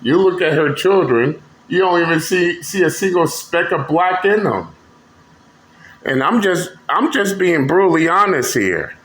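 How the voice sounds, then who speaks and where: A man's voice: fairly high pitch, expressive, a little slow, fairly bright tone. A man hosting a commentary channel, talking bluntly and mockingly with profanity as he reacts to clips. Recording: echoey room with faint noise.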